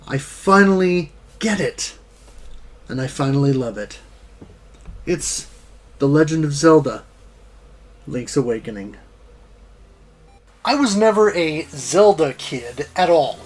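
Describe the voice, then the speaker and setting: Higher-voiced man narrating a scripted retrospective. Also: a little mellow. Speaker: host of a retro gaming series.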